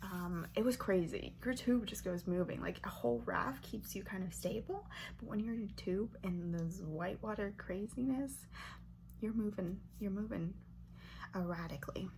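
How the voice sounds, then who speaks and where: A woman in her twenties from Brazil, whispering an ASMR question-and-answer chat close to the mic and softly repeating words over and over as triggers. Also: medium pitch, normal speed, vocal fry. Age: 20s. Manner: chatty, filler-heavy, with light laughs. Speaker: ASMR creator. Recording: noisy hum under voice.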